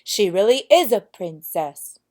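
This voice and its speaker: A woman's voice: mid-range voice, sing-song, a little slow, a little mellow. A woman voicing an earnest young prince in a fairy tale, speaking emphatically. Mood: happy, triumphant. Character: earnest young storybook prince, eager to marry.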